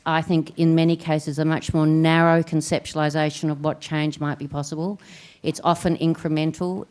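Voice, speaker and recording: Low-voiced woman, hesitant. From Australia. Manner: thoughtful, hesitant with many ums. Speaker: foundation funder in panel audience. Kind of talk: panel discussion contribution.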